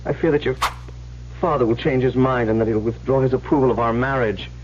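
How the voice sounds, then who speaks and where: An actor playing an anxious, earnest young huntsman in love, with halting, theatrical delivery in a radio drama. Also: medium pitch, fairly even intonation, darkish tone, natural voice. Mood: troubled, pleading. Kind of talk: old-time radio drama acting. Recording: muffled, lightly noisy old radio broadcast.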